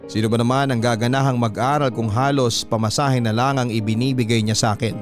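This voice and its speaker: A man with a Filipino accent voicing a resentful, self-pitying student's personal story as measured, confiding radio drama narration. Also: mid-range voice, fairly even intonation, over music, natural voice. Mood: resentful, sad.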